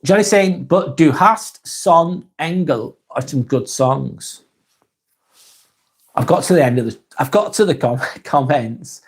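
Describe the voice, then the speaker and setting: A man from Lancashire with a Lancashire accent, hosting a casual live stream and reading viewer comments aloud in a soft, hesitant way. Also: on the high side, animated, slow, breathy edge. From Lancashire, England.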